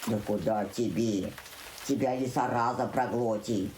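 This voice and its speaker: A woman voicing a gruff, steady male ant who grumbles in a low, unhurried voice. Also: background noise. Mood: grumbling.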